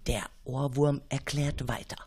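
A woman's voice: extremely low pitch, fairly even intonation, measured pace, creaky, darkish tone, slightly rough. A woman narrating a scripted children's radio play as the storyteller.

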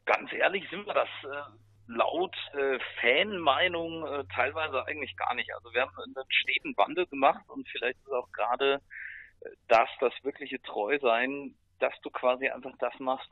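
A man's voice: medium pitch, moderate pace, no room sound. A man chatting casually as a musician guest in an interview. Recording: tinny, phone-like line.

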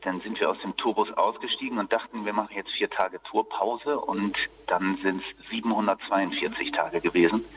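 A man, an actor, talking through an interview in a relaxed, chatty way with many hesitations. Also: on the low side, moderate pace, few pauses, recorded from a distance, slight background noise, rough recording. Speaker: actor, theater group founder being interviewed.

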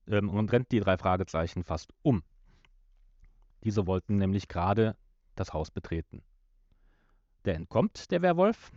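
A man's voice: on the low side, darkish tone, no room sound. Dry, slightly dull-sounding male podcast host. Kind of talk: semi-scripted plot retelling in a casual podcast.

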